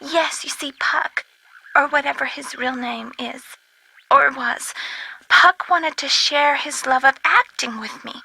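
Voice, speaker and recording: A woman's voice: high-pitched, slow, creaky, pushed and bright, dry recording, heavily acted, low-quality recording. A woman voicing a kindly, quaint elderly lady in a video game, genteel, wordy and fluttery. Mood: chatty, wistful. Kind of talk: video game character dialogue.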